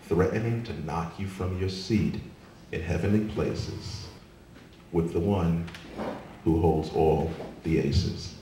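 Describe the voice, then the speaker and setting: A man performing spoken word poetry with a theatrical, emphatic delivery that swells and drops to a hush. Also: deep voice. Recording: echoey room from far off, faint noise.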